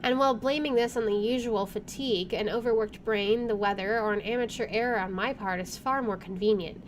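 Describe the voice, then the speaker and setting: A woman hosting a gaming video, reading visual novel narration aloud in an expressive way. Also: medium pitch, brisk, a little hiss or hum. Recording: faint background game ambience.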